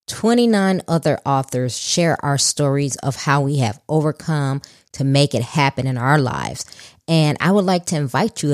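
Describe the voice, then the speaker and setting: A woman's voice: low-pitched, a little mellow, dry recording, studio quality. A woman from Chicago, hosting a podcast, reading an upbeat intro and then chatting casually with her guest. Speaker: podcast host.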